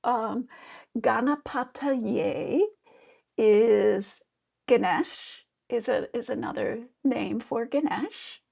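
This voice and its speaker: A middle-aged woman with a high, slightly gravelly voice leads a beginner's mantra meditation. She speaks slowly and gently, encouraging listeners with relaxed fillers and small restarts. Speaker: yoga therapist teaching mantra. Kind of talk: guided meditation lesson.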